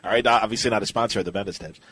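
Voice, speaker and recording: A man's voice: fairly low pitch, racing, a bit bright, breathy edge, dry recording. A man hosting a casual podcast interview, posing questions and giving short, agreeable acknowledgements.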